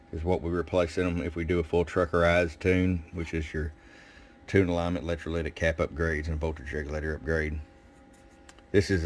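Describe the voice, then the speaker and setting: Real low voiced guy, radio tech showing stuff casually, plain talk, noisy shop recording. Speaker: radio technician demonstrating and tuning CB radios. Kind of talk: casual hands-on demonstration.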